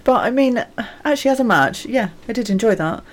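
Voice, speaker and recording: A woman's voice: a little high, lively intonation, fairly quick. A woman co-hosting a podcast, chatting casually in a candid, self-deprecating way. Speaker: podcast co-host. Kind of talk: casual chat.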